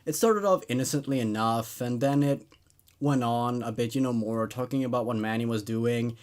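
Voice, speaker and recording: A man's voice: medium pitch, normal speed, darkish tone. A man hosting a horror story show, reading stories aloud and giving his thoughts on them. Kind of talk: dramatic story narration and laid-back commentary.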